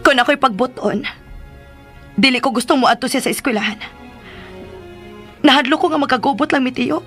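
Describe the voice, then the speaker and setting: An earnest, high-voiced young daughter. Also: female voice, normal speed, a bit bright, soft background music. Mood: worried. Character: worried, respectful young daughter, protective of mother. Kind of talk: radio drama acting.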